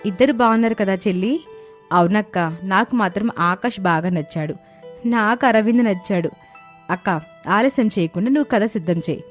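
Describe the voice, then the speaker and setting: A woman voicing an earnest, expressive young sister in a dramatized cartoon moral story. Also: mid-range voice, normal speed, close to an everyday voice. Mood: pleased. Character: young sisters, eager amateur video makers. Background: background music.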